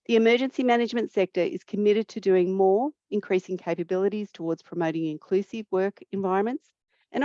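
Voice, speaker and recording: A woman facilitating an online panel discussion, speaking in a measured way and reading a prepared welcome. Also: mid-range voice, a little mellow, dry recording, lo-fi sound. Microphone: video call.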